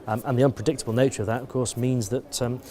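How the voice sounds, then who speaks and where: British male supervisor in interview, soft dark tone, mid pitch, lays things out methodically. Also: flat delivery, fast. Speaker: air traffic control supervisor interviewee. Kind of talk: explanatory interview answers.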